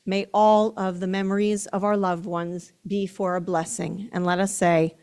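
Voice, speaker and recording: A woman rabbi leading a service, reading and reciting prayers in a solemn, measured, formal way with careful enunciation. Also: medium pitch, level tone, a little mellow, clear, resonant tone.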